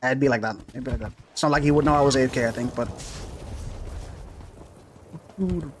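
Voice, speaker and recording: A man streaming a game live, rambling casually and thinking out loud as he plays and answers chat. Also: mid-range voice, racing, slight background noise. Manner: rambling, laid-back, thinks aloud with fillers.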